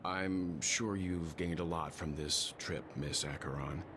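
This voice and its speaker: A man voicing a composed, courteous, probing gentleman in a video game, talking in a polite, deliberate, thoughtful way. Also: deep voice, fairly even intonation, slight background noise. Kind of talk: video game voice acting, measured dialogue. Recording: loud music under the voice. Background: loud music.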